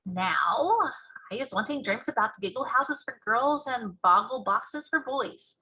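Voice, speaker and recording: A woman voicing an excitable big friendly giant in a storybook, speaking in folksy broken grammar with invented words. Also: fairly high pitch, unhurried, breathy edge, exaggerated voice, poor audio. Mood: muttering, excited. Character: excitable, muttering big friendly giant, male, dream-mixer. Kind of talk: storybook read-aloud character voice.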